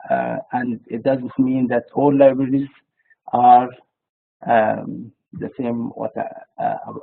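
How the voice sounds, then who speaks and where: A slow, halting man from Egypt speaks with a gravelly, husky voice, filling his pauses with many hesitations. The sound is thin and phone-like, as in a webinar recording. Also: medium pitch, lively intonation. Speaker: cataloger and panelist.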